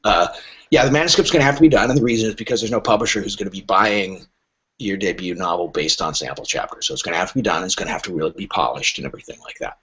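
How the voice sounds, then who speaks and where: A man's voice: sits low, racing, fairly bright tone, breathy edge. A middle-aged or older man answering interview questions as a literary agent, speaking frankly and emphatically and acting out imagined dialogue. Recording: dull lo-fi podcast audio.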